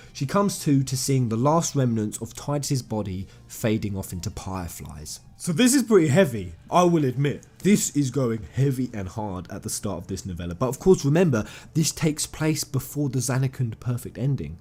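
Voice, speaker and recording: A man's voice: mid-range voice, darkish tone, breathy edge. A man speaking informally and enthusiastically as a video game commentator, stressing and repeating phrases as he retells a story to viewers. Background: background music.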